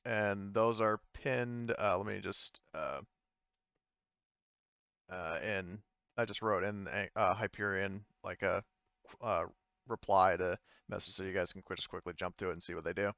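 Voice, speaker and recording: A man working as game master, narrating a tabletop game casually and haltingly as he thinks aloud. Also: low voice, fairly even intonation, fairly bright tone, smooth, no room sound, highs cut off.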